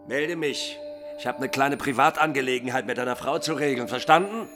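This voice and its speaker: A man's voice: medium pitch, brisk, long reverb tail, over music, lightly acted. An actor playing a swaggering, lewd man in a German radio drama, brash, taunting and commanding. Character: swaggering, lewd man lording it over others.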